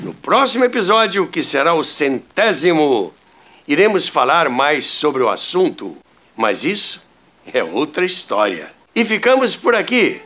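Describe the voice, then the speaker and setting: Slow, animated Brazilian man narrating. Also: fairly high pitch. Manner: deliberate, rhetorical, dramatic phrasing. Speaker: host of a radio history show. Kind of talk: scripted narration. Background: music.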